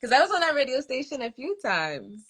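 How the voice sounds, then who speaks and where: A woman's voice: high voice, big pitch swings, a little fast, a loud crowd. Give me a young woman guest, casual and talkative, thinking aloud. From United States. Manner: chatty, laughs easily, thinks aloud with ums. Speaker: soca artist guest. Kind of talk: casual interview chat.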